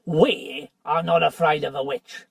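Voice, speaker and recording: A reader voicing a gruff, stubborn dwarf. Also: mid-range voice, steady tone, unhurried, dry recording, far from the mic. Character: gruff, loyal male dwarf.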